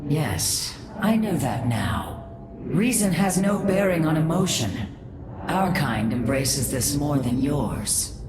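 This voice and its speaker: An actress playing a proud, bitter alien matriarch in a sci-fi game cutscene, speaking in a cold, formal, solemn way. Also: female voice, low-pitched, big pitch swings, slow, over a noisy crowd, full character voice. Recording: echoing voice under very loud score.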